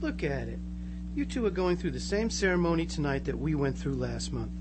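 Over clear noise and hum comes a man playing a teenage small-town boy in a live radio drama, blissfully converted and eerily placid. His highish pitch moves in wide, lively swings as he reassures with gushing earnestness. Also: fairly quick, natural voice.

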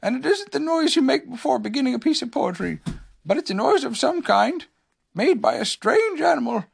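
High-pitched, polite little bear. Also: sing-song, creaky, husky, exaggerated voice. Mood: puzzled musing. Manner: hesitant, polite, slow-witted puzzlement. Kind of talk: children's storybook character reading.

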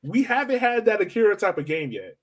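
A young man with a slightly high voice hosts a sports podcast. He talks casually, with loose slang, as if chatting with a buddy. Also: big pitch swings, brisk. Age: young adult. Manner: informal, slangy. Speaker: podcast host.

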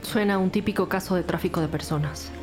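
Audio drama investigator, female actor: businesslike, serious policewoman, fairly low pitch, clear music bed. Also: fast, a little mellow, lightly acted. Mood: serious, determined. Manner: businesslike, direct. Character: composed, determined female police detective.

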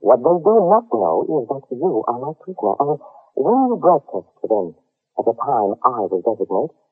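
Casting: gloating fake colonel, old radio serial; husky, mock-polite, menacing, animated inflection. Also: male voice, medium pitch, soft background music. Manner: formal, mock-polite, deliberate. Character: suave, menacing male impostor colonel, gloating captor. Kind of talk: 1950s radio drama acting. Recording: muffled old radio recording.

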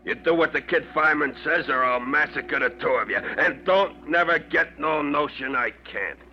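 Guy doing a hard-headed tug captain, highish voice, heavy-handed tough talk, muffled vintage radio drama. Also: moderate pace, natural voice. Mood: gruff, bossy. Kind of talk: old radio drama acting.

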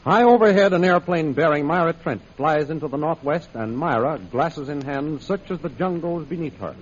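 A man's voice: fairly high pitch, normal speed, a little hiss or hum, natural voice. A man narrating an old radio adventure serial in a dramatic, scripted style. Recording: muffled old radio recording.

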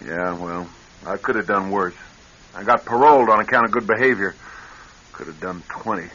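Husky, low American ex-con. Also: male voice, normal speed, bright, forceful tone. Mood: bitter, insistent. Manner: plain-spoken, slangy, hesitant. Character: weary, guarded ex-convict, casual working-class man. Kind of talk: radio drama acting. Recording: dull vintage radio recording.

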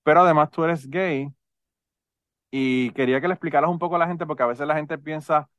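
A man's voice: on the high side, steady tone, fairly quick, a bit bright, dry recording. A man with a Puerto Rican Spanish accent hosting a podcast in a casual, chatty, rambling conversational style. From Puerto Rico. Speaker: podcast host.

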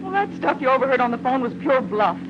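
An actress playing a tough, brassy, nervy dame in 1940s radio noir, with an American accent and snappy, defiant slang. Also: female voice, sits high, very animated, fast talker. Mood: guarded, explaining. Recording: muffled, noisy old radio recording.

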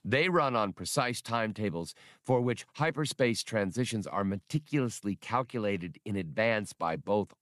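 A man's voice: on the low side, fairly bright tone, no echo, studio quality. A man reading a scripted work of fiction as an audiobook narrator.

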